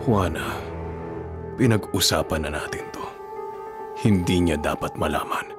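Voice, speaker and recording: A man's voice: low-pitched, a little slow. A man playing a firm, secretive father in a radio drama, stern and grave. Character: firm, secretive father guarding his child. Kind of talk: Filipino radio drama acting. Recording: dramatic music underneath.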